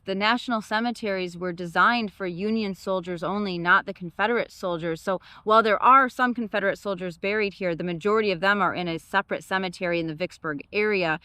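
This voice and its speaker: A woman's voice: medium pitch, sharp tone, dry recording. A woman with a Michigan accent co-hosting a travel vlog outdoors, chatting casually and thinking aloud as she talks. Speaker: travel vlogger. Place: outdoors at a park.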